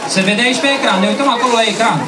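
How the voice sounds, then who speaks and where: A woman presenter addresses a group of children in an instructive way, repeating her calls for attention. She sounds far from the microphone in a roomy space, with very loud crowd noise. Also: a little high. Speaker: event leader and puppet show presenter. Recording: echoey, distant, very noisy crowd.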